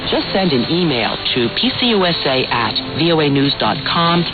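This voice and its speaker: A woman's voice: deep voice, sing-song, moderate pace. An American woman hosting radio, reading a scripted promo for her program. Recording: muffled shortwave radio with loud music. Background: loud music.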